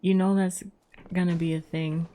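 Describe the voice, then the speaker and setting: A woman's voice: fairly low pitch, flat delivery, normal speed, dark tone, slight background noise. A young adult woman livestreaming a game, chatting casually and reacting as she plays.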